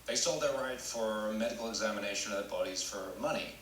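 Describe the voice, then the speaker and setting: An actor at a table read playing a matter-of-fact biology teacher lecturing a class in a dry, formal, deliberate way. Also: male voice, lowish voice, a bit bright, echoey room, recorded from a distance, a little hiss or hum, close to an everyday voice. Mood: deadpan. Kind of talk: live comedy table read.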